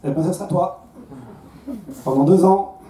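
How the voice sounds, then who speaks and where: A man's voice: high voice, a little slow, warm tone. A man from Belgium with a Belgian French accent, in his thirties, doing a self-mocking stand-up set on a comedy club stage, with stammered restarts. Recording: roomy club sound from a distance, faint crowd.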